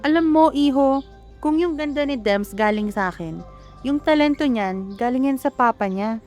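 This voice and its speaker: A woman's voice: sits high, animated, moderate pace, darkish tone, faint music behind. A woman with a Filipino accent playing a chatty, teasing middle-aged mother of three in an amateur radio drama. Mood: playful, nostalgic.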